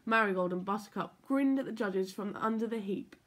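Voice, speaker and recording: A woman reading a children's story aloud in an expressive, playful, emphatic way. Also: mid-range voice, fairly quick. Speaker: story time reader for children.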